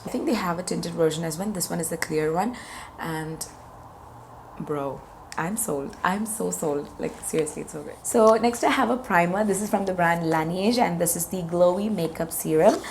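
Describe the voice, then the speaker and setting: Hoarse, gravelly-voiced woman vlogger. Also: on the low side, normal speed, darkish tone, slight background noise. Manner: chatty, enthusiastic, product-by-product narration. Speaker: beauty vlogger. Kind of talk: casual makeup review.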